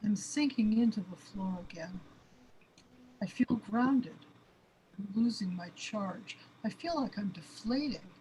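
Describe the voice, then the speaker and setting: A woman's voice: medium pitch, lively intonation, measured pace, mellow. A woman playing a panicky, overwhelmed avatar who longs for a real body, in an amateur audio drama. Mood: sinking, panicky. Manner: anxious, complaining, overwrought. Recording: clearly noisy background.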